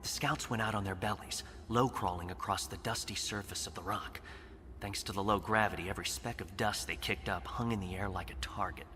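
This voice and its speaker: A man's voice: sits low, brisk, sharp tone, in a large hall, a little hiss or hum. A man playing a young, nervous but determined Imperial cadet leader in an audio drama. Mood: guarded, narrating. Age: young adult. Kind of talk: audio drama acting and narration.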